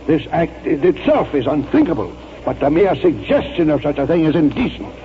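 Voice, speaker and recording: An actor playing a proud, stubborn old retired army colonel in a 1940s radio drama, speaking in a pompous, formal, oratorical way. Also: male voice, on the high side, big pitch swings, fairly quick. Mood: indignant, defiant. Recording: muffled, noisy 1940s radio recording.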